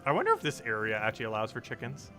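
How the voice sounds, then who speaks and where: Rambling low-voiced streamer with music behind. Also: male voice, huge pitch swings, rapid-fire. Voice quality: low-pitched voice. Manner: rambling, laughs often, goes off on tangents. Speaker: live streamer. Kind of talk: casual live-stream chat while gaming.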